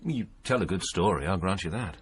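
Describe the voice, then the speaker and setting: Skeptical gentleman: crisp, firm, expressive. Period radio drama. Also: low-pitched, fairly quick, a little hiss or hum. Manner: firm, clipped, protective. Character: composed, skeptical gentleman scholar.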